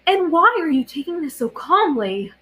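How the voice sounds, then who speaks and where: A woman's voice: very high-pitched, big pitch swings, moderate pace, bright, forceful tone, pitched above the performer's own voice, heavily acted. A woman voicing a caring but exasperated teenage girl healer, bossy and emphatic, in an amateur story reading. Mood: frantic.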